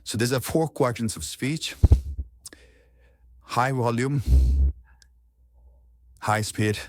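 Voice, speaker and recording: A man's voice: medium pitch, slow pace, no echo, clean studio sound. A man speaking as a coach, giving an informal, explanatory lesson on public speaking.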